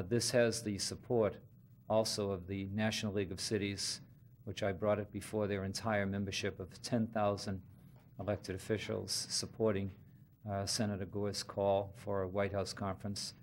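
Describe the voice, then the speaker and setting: Deliberate middle-aged mayor. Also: male voice, on the low side. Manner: deliberate, many uhs and repetitions. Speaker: mayor speaking for city officials. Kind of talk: press conference statement. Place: indoor press room.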